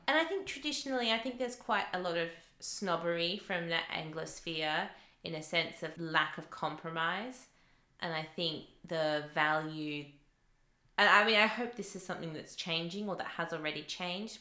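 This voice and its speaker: A woman's voice: low-pitched, pushed and bright, roomy sound. A woman who teaches English, talking through her thoughts with many fillers and restarts in a casual conversation.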